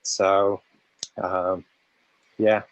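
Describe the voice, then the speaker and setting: A man's voice: low voice, level tone, very slow, dry recording, low-quality recording. A man chatting on a video call through his laptop, hesitant and rambling with many fillers. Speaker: community member. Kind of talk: casual video call chat. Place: room with a laptop, fan noise mentioned. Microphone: laptop mic on a video call.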